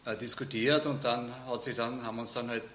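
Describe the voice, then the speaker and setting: A man's voice: mid-range voice, fairly even intonation, quick pace, fairly bright tone. A man, explaining at length in a loose, rambling way with many fillers. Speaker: organizer, guest. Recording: echoey room, faint noise.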